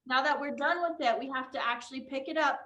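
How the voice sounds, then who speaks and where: A woman's voice: sits high, fast talker, bright, forceful tone, lo-fi sound, a little hiss or hum. A young woman teaching an online drama class for kids, encouraging and upbeat as she guides a pretend game over a video call. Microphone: computer on a video call.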